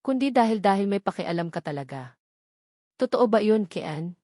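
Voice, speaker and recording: A narrator plays a tender, anxious young woman in a love story audiobook. She has a Filipino accent and a soft, tentative delivery with a slight tremble, in a dry recording. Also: mid-range voice, expressive, fairly quick, dry recording, close to an everyday voice. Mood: tentative, hopeful. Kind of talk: romantic audiobook character dialogue.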